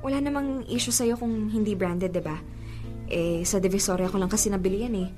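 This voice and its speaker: A woman's voice: mid-range voice, a little fast, dark tone, faint music behind, lightly acted. An actress playing a devoted, coaxing young girlfriend in a Filipino radio drama, with a Filipino accent. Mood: playful, teasing. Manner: emotive, coaxing, teasing. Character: pleading, playful young girlfriend, devoted and sweet.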